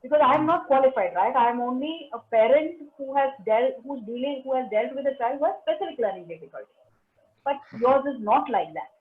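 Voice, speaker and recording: A woman's voice: sits high, lively intonation, fairly quick. Firm Indian woman on a tinny call. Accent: Indian English. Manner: firm, direct, advice-giving. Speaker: parent support group speaker. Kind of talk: answering questions on a call. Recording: tinny phone-like call audio.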